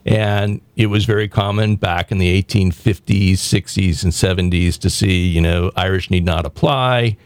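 A talk show host from the American South with a slightly low voice. He speaks bluntly and opinionatedly, often stopping and restarting his sentences. The recording is dry and close. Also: fairly even intonation, no room sound. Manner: blunt, provocative, halting with restarts.

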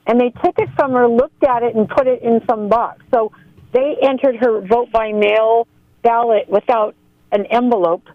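A woman's voice: a little high, steady tone, moderate pace, dry recording. Chatty woman calling in by phone. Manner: chatty, laughs while telling stories. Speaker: caller, poll worker. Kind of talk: phone-in storytelling. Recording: muffled phone line.

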